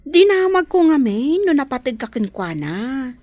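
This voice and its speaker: Kindly busybody confidante woman acting, raised lively voice, Ilocano accent, expressive village radio drama. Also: high voice, unhurried, no echo. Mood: coaxing.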